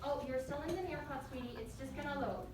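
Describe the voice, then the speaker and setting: A woman's voice: sits high, fast talker. A woman teaching young pupils, encouraging as she leads the class and reads aloud to them. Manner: encouraging, addresses pupils as friends. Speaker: elementary school teacher. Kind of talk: leading a class and reading a story aloud. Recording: very echoey, distant mic, faint noise.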